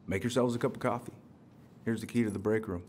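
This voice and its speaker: Low-voiced genial middle-aged uncle actor. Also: male voice, fairly even intonation, quick pace, a little mellow, a little hiss or hum, close to an everyday voice. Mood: friendly. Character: genial, folksy middle-aged uncle and small-town policeman. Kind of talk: naturalistic video game acting. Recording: faint background ambience.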